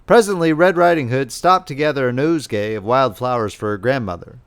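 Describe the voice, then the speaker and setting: A man narrating a children's fairy tale as a scripted, expressive audiobook reading. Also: medium pitch, fairly quick, smooth.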